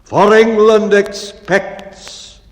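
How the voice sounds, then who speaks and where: A man voicing an impatient, commanding bellman in a dramatic poetry reading, loud and imperious. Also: sits high, steady tone, drawn-out words, big-room sound, pitched above the performer's own voice. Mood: rousing. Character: blustering, impatient bellman, commanding leader of men.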